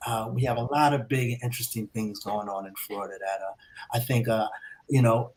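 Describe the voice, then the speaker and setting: A man co-hosting a podcast, delivering show announcements and listener shout-outs in an upbeat, announcer-like way. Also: fairly low pitch, fairly even intonation, a little fast, poor audio. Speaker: podcast co-host.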